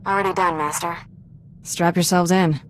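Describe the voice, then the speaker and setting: Casting: actress as capable, brisk young female Jedi apprentice, slightly low voice, game dialogue, faint ambient noise. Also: steady tone, lightly acted. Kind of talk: video game cutscene acting.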